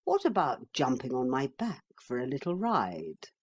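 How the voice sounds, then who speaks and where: Woman reading a storybook talking horse, male, lowish voice, slow and teasing, lively swoops. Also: full character voice. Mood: coaxing.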